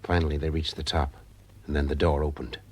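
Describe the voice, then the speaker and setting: An actor playing a wry, cool private eye in a radio detective drama, speaking in a dry, understated way. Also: male voice, rumbling low, little pitch movement, brisk, a little mellow, a little hiss or hum. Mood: measured, suspenseful. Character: wry, cool private eye telling his own story. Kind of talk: radio detective drama with first-person narration.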